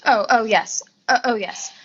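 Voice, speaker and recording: A teenage girl playing a nervous, distracted woman executive in a 1940s suspense radio play, halting and repeating herself. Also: fairly high pitch, breathy edge, highs cut off. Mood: flustered. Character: nervous, flustered woman executive, curt when pushed. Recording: faint noise and clicks, home recording.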